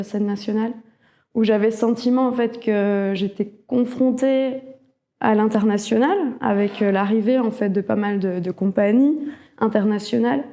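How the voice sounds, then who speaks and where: A woman's voice: mid-range voice, vocal fry, warm tone. A woman from Alsace giving a personal testimonial in a reflective, hesitant way, often rephrasing as she goes. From Alsace, France. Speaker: cultural network worker, interviewee.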